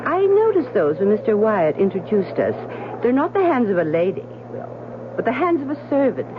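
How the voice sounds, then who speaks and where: An actress playing a shrewd, sharp-eyed gentlewoman wife in a radio drama, speaking in a knowing, firm, persuasive way. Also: on the high side, very animated, moderate pace. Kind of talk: 1970s radio drama acting. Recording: muffled, hissy old radio broadcast.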